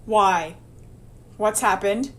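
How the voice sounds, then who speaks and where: A woman's voice: mid-range voice, very slow, a bit bright. A woman playing an anxious, careful scientist in a horror radio drama, speaking earnestly. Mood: worried.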